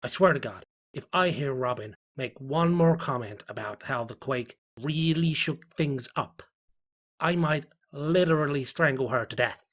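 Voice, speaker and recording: A man's voice: mid-range voice, unhurried, a bit bright. A man voicing a grumpy, weary small-town fisherman, reading his sardonic diary with dry grumbling and swearing. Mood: exasperated.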